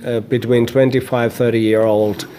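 A man in his sixties from Finland, talking about his church in a hesitant, halting way with many fillers, on a lapel mic in a conference hallway. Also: mid-range voice, little pitch movement, dark tone, slight background noise. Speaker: church leader. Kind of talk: casual interview. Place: indoor hallway at a conference venue.

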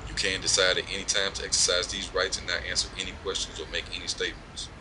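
An actor playing a stern, bullying sheriff's deputy in an audio drama, commanding and procedural. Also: male voice, low-pitched, little pitch movement, fast, lightly acted. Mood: official, businesslike. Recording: noisy vehicle ambience.

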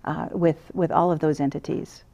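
A woman with a low, mellow voice gives an earnest studio interview as a seated guest. She talks into a lapel mic and often restarts her sentences with fillers. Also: steady tone, moderate pace. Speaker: interview guest, council director. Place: small TV studio set, seated.

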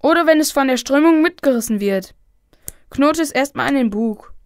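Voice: high voice, lively intonation, moderate pace, no room sound. A performer playing a cautious, sensible boy in a children's radio play. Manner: careful, questioning.

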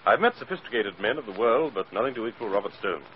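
Guy acting urbane rich young gent, 40s radio, low lively voice, muffled recording. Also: fast talker, a little hiss or hum, natural voice. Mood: genial, admiring. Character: urbane, genial wealthy young man about town.

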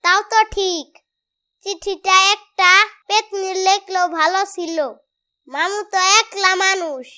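A small, nosy nephew from a comedy cartoon, with an extremely high, piercing voice. The boy is pert and argumentative, always teasing, and speaks with a colloquial Bangladeshi accent. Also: steady tone, unhurried, pushed and bright, heavily acted. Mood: bold, teasing. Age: child character.

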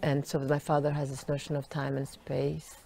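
Very low-voiced woman, flat delivery. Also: normal speed, gravelly. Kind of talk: interview answers.

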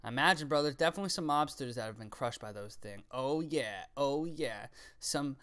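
A young man records casual reaction commentary up close on a studio microphone. His voice sits at a medium pitch, and he talks off the cuff with slang, swearing and playful sarcasm. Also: animated, normal speed, fairly bright tone. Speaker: reaction video creator. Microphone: close studio microphone on arm, with headset.

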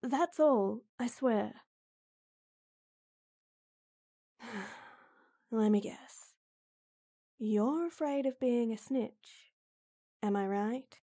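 A woman playing a gruff but caring tomboy best friend in an intimate audio roleplay, blunt and exasperated, with frequent sighs. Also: fairly high pitch, slow, long pauses, dark tone. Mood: exasperated, worried.

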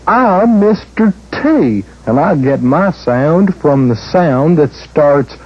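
A slow-talking, husky letter character with tall teeth, stretching out his words in a children's TV show. His pitch swings widely as he exclaims. The audio is dull and lo-fi, like old broadcast tape. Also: sing-song, heavily acted. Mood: excited.

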